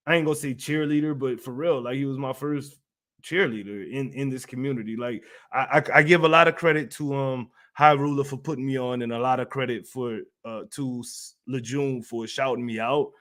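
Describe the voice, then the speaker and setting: A man's voice: mid-range voice, a bit bright. A man hosting a gaming channel livestream, casually chatting and answering viewers in a slangy, playful, rambling way. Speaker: YouTube host of a gaming community channel.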